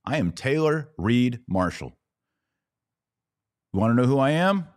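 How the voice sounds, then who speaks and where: Male podcast host, sermon-like monologue, slow deliberate delivery, swooping lively pitch, dramatic pauses. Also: no echo, clean studio sound.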